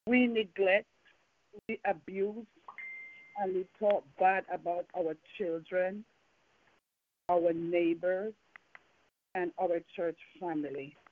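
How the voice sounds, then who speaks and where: A woman's voice: fairly low pitch, takes it slowly, long pauses, slight background noise. A woman with a Jamaican accent preaching a fervent evening sermon, full of repeated phrases and rhetorical questions. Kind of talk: evening sermon with call and response. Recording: tinny phone-line sound.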